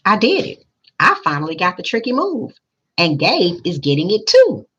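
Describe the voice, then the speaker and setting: A woman's voice: on the low side, wildly swooping pitch, normal speed, fairly bright tone, breathy edge, voice pushed up, full character voice. A woman voicing a sulky, jealous young schoolboy in a storybook, childlike and prone to grumble or exclaim. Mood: excited, friendly.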